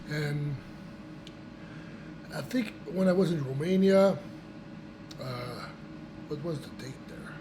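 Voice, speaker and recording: A young man with a voice gone raspy from a cold, chatting casually to his viewers in a rambling way with many restarts and fillers. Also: a little high, noisy background. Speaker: vlogger. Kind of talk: casual chat to camera.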